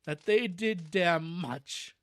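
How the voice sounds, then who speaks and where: A reader doing a gentle old professor. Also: mid-range voice, slow, no room sound. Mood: earnest. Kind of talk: reading a novel aloud.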